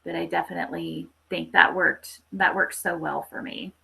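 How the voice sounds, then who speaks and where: A woman's voice: fairly low pitch, flat delivery, normal speed, vocal fry, bright, forceful tone, slightly rough, low-quality recording. A woman hosting a podcast in casual literary discussion, often repeating phrases as she gathers her thoughts. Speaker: podcast host.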